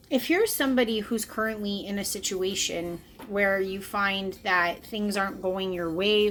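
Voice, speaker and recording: A woman's voice: medium pitch, fairly bright tone. Earnest woman in her late thirties, confessional. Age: late 30s. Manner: earnest, confessional, emphatic repetition. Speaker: spiritual vlogger. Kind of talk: personal reflective monologue to camera. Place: indoors, in front of a camera.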